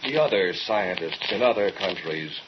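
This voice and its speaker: A man's voice: sits low, lively intonation, moderate pace. A man playing an earnest young military man in an old radio drama, solemn, challenging and pointed. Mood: accusing. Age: young adult. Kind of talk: mid-century radio drama acting. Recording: dull, noisy old radio recording.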